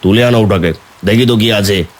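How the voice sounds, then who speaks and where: An actor playing a gruff, bossy building secretary in an audio horror story, speaking in curt orders. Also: male voice, lowish voice, brisk, close to an everyday voice. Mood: commanding, curious.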